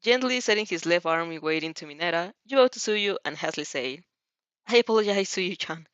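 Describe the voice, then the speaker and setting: A woman's voice: fairly low pitch, animated, fast, fairly bright tone, clean tone, no room sound. Woman reading fanfiction, dull close recording. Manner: stumbles and rereads phrases. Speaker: fanfiction audiobook reader. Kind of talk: expressive amateur story reading.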